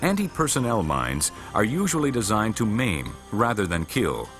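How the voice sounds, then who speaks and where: Male narrator, low pitch, lively intonation, measured formal instructional delivery, music behind. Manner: measured, formal, matter-of-fact. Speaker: narrator of a military training film.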